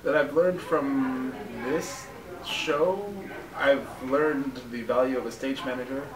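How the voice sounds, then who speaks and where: A man's voice: mid-range voice, slow pace, a crowd in the background. Distant, echoey man, casual and self-deprecating. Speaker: first-time theatre director being interviewed. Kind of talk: casual, unscripted interview talk. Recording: roomy echo, distant microphone.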